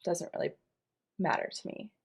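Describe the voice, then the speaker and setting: A woman of thirty co-hosting a podcast, talking casually and frankly and swearing now and then. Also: normal speed. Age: 30s. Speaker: podcast co-host. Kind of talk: casual chat.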